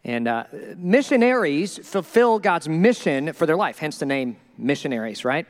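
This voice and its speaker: A male pastor preaching a sermon in an animated, joking way, acting out the people he quotes. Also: on the high side, moderate pace, long reverb tail. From Minnesota.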